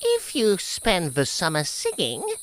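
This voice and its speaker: Man doing high, scolding storybook ants. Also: huge pitch swings, a little slow, fairly bright tone, heavily acted. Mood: mocking. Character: stern, smug hard-working storybook ants.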